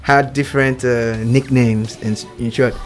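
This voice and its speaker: A man's voice: mid-range voice, steady tone, a little slow, faint music behind. A male radio host interviewing two contest finalists, encouraging them and laughing along.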